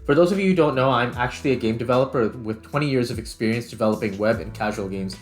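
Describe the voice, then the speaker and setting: A man's voice: mid-range voice, fast. Man casually narrating a game-making tutorial, music behind. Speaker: game developer hosting a tutorial series.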